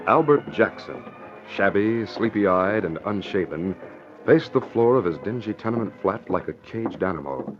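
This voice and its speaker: A man's voice: fairly low pitch, lively intonation, normal speed, over music. A man announcing and narrating in a theatrical, emphatic, formal 1940s radio style. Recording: dull old radio broadcast recording.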